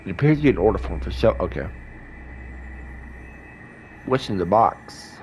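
A man's voice: low voice, animated, normal speed, a little mellow, a little hiss or hum. A man with a stuffed-up nose, casually playing a game and reading its dialogue aloud. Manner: reads character lines in his own voice, chatty asides, congested. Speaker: gaming YouTuber.

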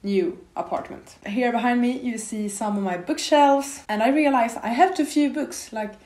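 A woman in her twenties talks to her camera in a half-empty new apartment, and the bare walls throw back a noticeable echo. A slight cold leaves her voice a little raspy. She rambles in a chatty, casual way, full of little fillers. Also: a little high, moderate pace, darkish tone. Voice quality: a bit raspy. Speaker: book vlogger. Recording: echoey empty room.

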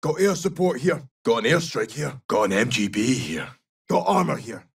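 An actor playing a tough, loyal Scottish special forces soldier in a video game, giving short, clipped callouts in a gravelly voice. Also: male voice, medium pitch, sing-song, far from the mic, exaggerated voice. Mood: brisk, alert. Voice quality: husky, gravelly. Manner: clipped, brisk, urgent.

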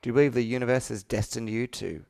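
A man in his thirties speaks in a smooth, resonant voice with a dry, close sound. He talks like a coach on a sales call, earnest and persuasive. He leans on personal stories and rhetorical questions to make his point. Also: medium pitch, fairly even intonation, fast, warm tone, no echo. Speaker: business coach. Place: indoor room with whiteboard, video call.

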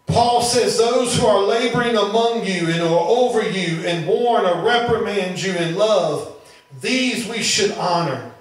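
A man's voice: high-pitched, measured pace. A middle-aged man preaching as a pastor, teaching the Bible earnestly and often repeating and rephrasing his phrases. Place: small church room, indoors. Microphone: handheld microphone at a lectern. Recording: very echoey room, distant sound.

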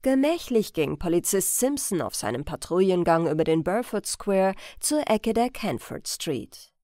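A woman's voice: on the low side, very animated, a little slow, mellow, no room sound, clean studio sound. A woman narrating a scripted crime story as an audiobook reader.

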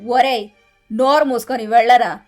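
Woman doing a bossy spiteful middle-aged mother in law, high voice, sharp tongue, cartoon story. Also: steady tone, normal speed, soft background music, natural voice. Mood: scornful, angry. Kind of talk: animated moral story dialogue.

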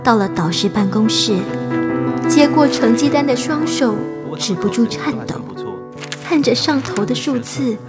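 An earnest teenage girl, acted by a woman, speaks in a fairly high, emotional voice. She is a hardworking, anxious young immigrant in a radio drama, with prominent music underneath. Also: unhurried, lightly acted. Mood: reflective, sorrowful. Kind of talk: radio drama acting with reflective first-person narration. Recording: music behind the voice.

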